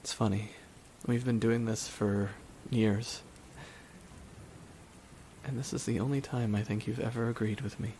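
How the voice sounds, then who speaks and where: A man playing a bitter, weary supervillain in a dramatic ASMR audio roleplay, speaking deliberately with long pauses to a dying nemesis. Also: medium pitch, close to an everyday voice. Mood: wry, probing. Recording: steady rain noise behind the voice. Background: rain falling on surfaces.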